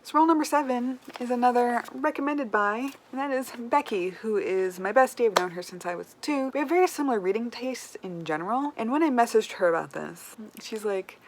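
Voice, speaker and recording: A woman's voice: on the high side, animated, a little fast, few pauses, poor audio. A woman chatting casually as a book vlogger, with an informal, wryly self-deprecating manner. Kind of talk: casual chat to camera. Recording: clear background noise.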